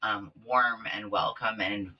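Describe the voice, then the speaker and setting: A man's voice: on the low side, fairly even intonation, slow pace, breathy edge, recorded from a distance, highs cut off. A man from Kenya, guest on a podcast, telling his story in a rambling way with many fillers, recorded in a small podcast closet. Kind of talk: casual interview chat.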